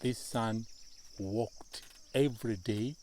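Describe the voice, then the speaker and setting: A middle-aged man from northern Malawi with a Malawian accent, telling his family's story in an interview in short, measured phrases. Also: lowish voice, darkish tone, a little hiss or hum. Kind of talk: slow, deliberate storytelling in an interview.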